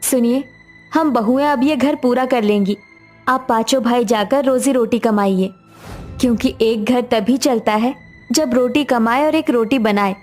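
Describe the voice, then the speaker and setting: A devoted, hopeful young daughter-in-law from a poor cartoon household, played by a woman, speaks in a highish voice. There is music behind her lines. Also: a little slow, a little mellow, lightly acted. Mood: resolute. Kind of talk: cartoon dialogue acting.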